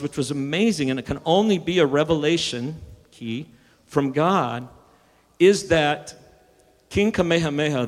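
A man's voice: on the high side, big-room sound. A man preaching a sermon, building emphasis and sometimes restarting his words. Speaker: pastor.

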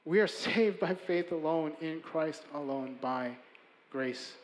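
Earnest preaching man with a high voice. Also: slow, long reverb tail. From Chicago, USA. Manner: earnest, emphatic preaching with building lists and hushed endings. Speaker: pastor. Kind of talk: sermon.

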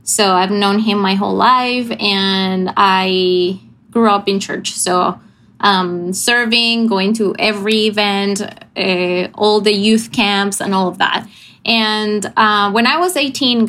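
A woman speaks over a video call from her family home. She chats in an unhurried, casual way, laughing easily and stopping on little fillers. Her voice sits at a middle pitch. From Venezuela. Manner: warm, laughs often, halting with fillers. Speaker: missions pastor. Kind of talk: casual interview chat.

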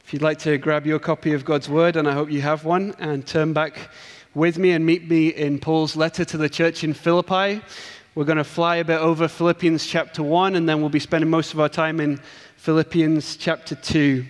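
A man's voice: fairly high pitch, flat delivery, normal speed, big-room sound. A man with an English accent, a guest preacher delivering an earnest sermon with emphatic build-ups. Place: church sanctuary.

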